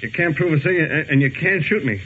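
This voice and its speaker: An actor playing a cowardly, cornered outlaw who stammers and pleads in a 1950s radio western. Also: male voice, fairly high pitch, animated, fairly quick, a bit bright. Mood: frightened, defensive. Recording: muffled, hissy 1950s radio recording.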